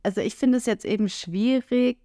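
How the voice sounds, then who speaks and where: A woman co-hosts a relaxed talk podcast, speaking in a frank, earthy way. She drops frequent filler sounds and now and then acts out quoted lines. The recording is dry and close, with no room echo. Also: on the high side, moderate pace. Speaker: podcast co-host. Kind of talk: casual podcast conversation.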